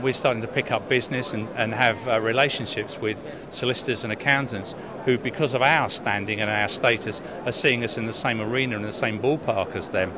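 Brief: middle-aged male broker, halting with fillers, expo crowd behind. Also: mid-range voice. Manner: hesitant, many ums and restarts. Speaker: insurance broker. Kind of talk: vox pop interview answer. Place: busy indoor exhibition hall.

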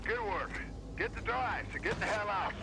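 A military captain in a sci-fi video game, curt and commanding. His voice sits very high for a man, over loud mechanical background noise. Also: lively intonation, moderate pace, creaky. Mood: firm. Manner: curt, authoritative orders. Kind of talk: video game cutscene acting.

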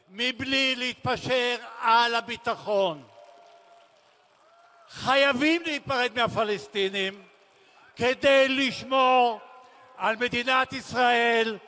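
A middle-aged man from Israel delivers a formal political speech at a memorial rally, solemn and declamatory, in deliberate phrases with emphatic pauses. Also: extremely high pitch, sharp tone. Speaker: speaker at a memorial rally, former military commander. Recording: large reverberant public-address sound.

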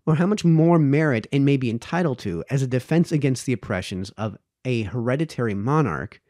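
Mellow man reading aloud, lively intonation. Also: medium pitch, dry recording, clean studio sound. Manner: careful, measured, stumbles over words and rereads them. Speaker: reader, host of a read-aloud series. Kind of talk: reading an old essay aloud. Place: small indoor home study. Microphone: close microphone on a boom arm.

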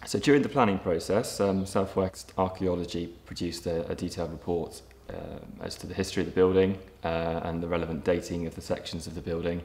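Low, flat-voiced man in an echoey room. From England. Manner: measured, hesitant with frequent ums and restarts. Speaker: property developer. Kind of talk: documentary interview. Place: empty brick factory room, indoors, large. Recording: echoey empty room, faint ambience.

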